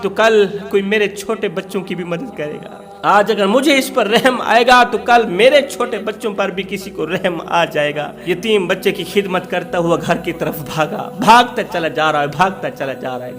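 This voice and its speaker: A man's voice: high voice, moderate pace, hardly any pauses, fairly bright tone. A man preaching through emotional storytelling, dramatic, repeating phrases for emphasis.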